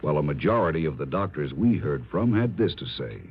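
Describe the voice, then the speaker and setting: An American man delivers a vintage radio commercial. His voice is extremely deep and husky, in a scripted announcer style. The recording is muffled and old. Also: animated, a little fast. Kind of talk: 1950s radio ad read.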